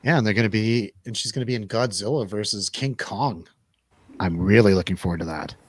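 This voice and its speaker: A man's voice: lowish voice, brisk, husky, no room sound. A man in casual movie review conversation, talking in an enthusiastic, slangy way. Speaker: film review show host.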